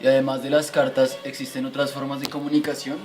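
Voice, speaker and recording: Inquisitive young student played by a man, medium-pitched, scripted questioning, educational radio drama over clear music. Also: little pitch movement, fast talker, natural voice. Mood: curious. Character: curious, easily impressed young male pupil. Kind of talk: amateur educational radio play.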